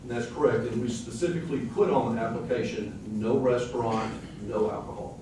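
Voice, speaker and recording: A man at a podium explaining zoning rules to a public meeting in a measured, procedural way. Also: medium pitch, fairly even intonation, noisy background. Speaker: official at the podium. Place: large community meeting room, indoors. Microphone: phone camera in the audience. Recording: echoey hall, distant phone mic.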